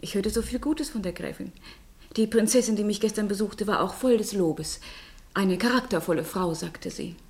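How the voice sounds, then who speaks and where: A woman's voice: mid-range voice, normal speed, a little mellow, lightly acted. An actress playing a haughty, flirtatious noblewoman in a radio play, speaking in an eloquent, mocking way. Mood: coolly polite. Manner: mocking, eloquent, formally polished. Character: haughty, witty, flirtatious noblewoman rebuking her married lover. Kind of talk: German literary radio play acting.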